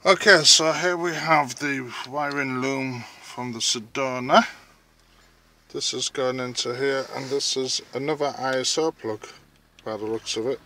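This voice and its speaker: A man giving casual, halting hands-on commentary as he thinks aloud through a DIY job, recorded on a handheld phone. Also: mid-range voice, steady tone, bright, forceful tone. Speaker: vlogger narrating a DIY car radio install.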